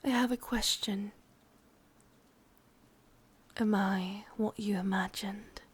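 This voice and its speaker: A woman playing a slow, breathy sadistic witch, English accent. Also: medium pitch, fairly even intonation. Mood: taunting, amused. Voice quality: breathy, half-whispered. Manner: slow, teasing, drawn-out phrases. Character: sadistic possessive forest witch, seductive and menacing. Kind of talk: ASMR roleplay.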